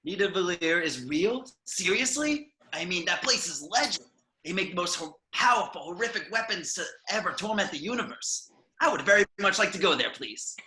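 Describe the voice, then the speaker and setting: A man playing a cocky, sarcastic talking raccoon mercenary with bossy, excitable delivery in an amateur film table read. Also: high voice, normal speed, echoey room, heavily acted, rough recording. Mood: awed, excited.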